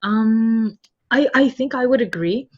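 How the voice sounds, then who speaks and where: A chatty woman speaking casually as an interview guest, quick to laugh, explaining her work as a translator. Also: fairly high pitch, dull audio. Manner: chatty, laughs readily while talking. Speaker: podcast guest, web novel translator.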